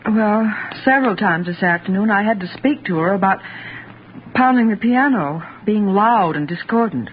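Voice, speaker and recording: A woman's voice: medium pitch, expressive, normal speed, close to an everyday voice. An actress playing a strained, strict stepmother and anxious wife in a 1940s radio drama, earnest and genteel. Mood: anxious, conciliatory. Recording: scratchy, hissy, narrow 1940s radio recording.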